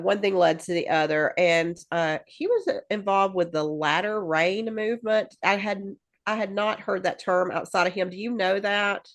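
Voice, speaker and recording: A woman co-hosting a podcast in casual chat, rambling with lots of fillers and restarts and laughing easily. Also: on the low side. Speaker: podcast co-host.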